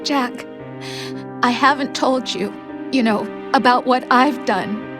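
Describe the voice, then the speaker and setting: A high-voiced, tender, worried girlfriend. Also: slow. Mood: sad, pleading. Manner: gentle, pleading, emotional. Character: tender, worried young woman consoling the man she loves. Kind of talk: dramatic video game cutscene acting. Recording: music behind the voice.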